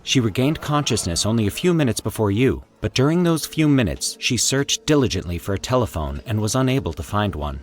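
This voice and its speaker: A man narrating a scripted horror story in a formal, deliberate, theatrical storytelling manner. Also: lowish voice, lively intonation. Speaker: host and narrator of a fiction podcast.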